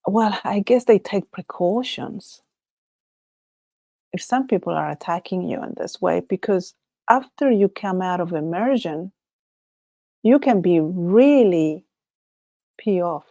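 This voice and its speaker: A woman's voice: on the low side, warm tone, no echo. A woman from Chile with a Chilean Spanish accent, Spanish her first language, hosting a casual solo livestream chat. She muses aloud, often laughs, and trails off and restarts her thoughts. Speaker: livestream host.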